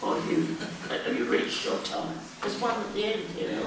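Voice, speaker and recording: A woman speaking slowly and graciously to schoolchildren as a guest speaker. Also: mid-range voice. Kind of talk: talk and Q&A with schoolchildren. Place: school hall. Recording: echoey hall from far off, background noise.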